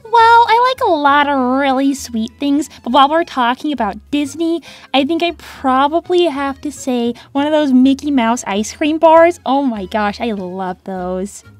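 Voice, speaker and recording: A woman's voice: high voice, animated, unhurried, few pauses, soft background music. A woman voicing a young female cartoon hedgehog, peppy and touchy, with a sarcastic, girlish manner. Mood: excited. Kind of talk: cartoon character answering fan questions.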